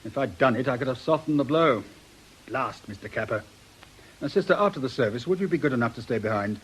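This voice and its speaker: A male actor plays a no-nonsense British police inspector in a radio play. The voice is mid-pitched and plain-spoken, businesslike and blunt, with faint background noise on the recording. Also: expressive, fast talker, lightly acted, low-quality recording. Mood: quiet, considerate. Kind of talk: radio drama acting.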